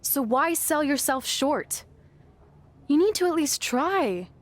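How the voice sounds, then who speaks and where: A woman's voice: high voice, animated, slow. A woman playing a loyal, sarcastic young woman wrestler who encourages her friend in a video game cutscene. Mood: encouraging. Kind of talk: scripted game cutscene acting.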